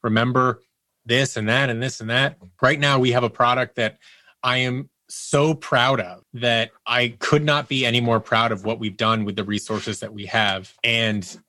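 A man's voice: medium pitch, steady tone, moderate pace, bright, forceful tone, no echo. A man speaking as an interview guest, conversational, earnest and reflective as he explains things. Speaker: interview guest, audio drama producer.

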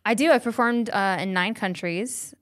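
A woman's voice: mid-range voice, normal speed. A young woman from Colorado, a comedian guest, chatting on a podcast and telling stories with lots of fillers. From Colorado, USA. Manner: chatty, animated storytelling with many fillers. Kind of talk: casual podcast chat.

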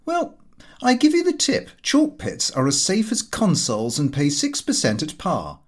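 Man putting on a high, cocky young man. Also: huge pitch swings, unhurried, exaggerated voice. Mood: cocky, insistent. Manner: breezy, slangy, supercilious. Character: cocky, flippant young man, the clerk's son.

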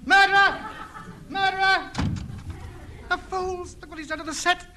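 A pompous English radio ham, speaks in a very high, hurried voice. He is hapless, flustered and quick to take offence. It sounds like a noisy old sitcom recording. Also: fairly even intonation. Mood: frantic, dejected. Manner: pompous, flustered, easily affronted. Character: pompous, indignant, hapless amateur radio operator. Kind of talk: broadcast sitcom acting.

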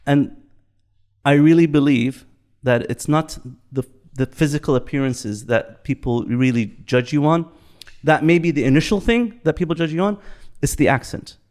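A man in his fifties from Bahrain, chatting in a relaxed podcast conversation, reflective, often repeating and restarting his words. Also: fairly high pitch, normal speed. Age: 50s. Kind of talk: casual podcast chat.

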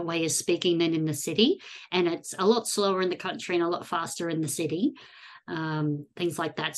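Australian woman, podcast guest, relaxed and chatty, quick to laugh. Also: on the low side. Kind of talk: casual interview chat.

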